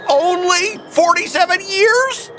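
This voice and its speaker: An actor playing a haughty, exasperated corporate merchant in a video game, with a pompous, theatrical manner. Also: male voice, very high-pitched, takes it slowly, over music. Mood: indignant.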